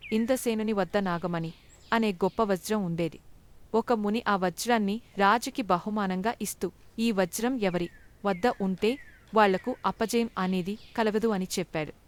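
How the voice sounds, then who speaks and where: A woman narrating a scripted moral story for children as a storyteller. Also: mid-range voice.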